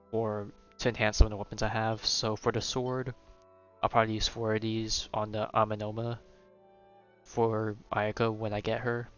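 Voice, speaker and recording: A man's voice: lowish voice, lo-fi sound. A man live streaming a video game, thinking aloud in casual, rambling commentary that often trails off. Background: video game music.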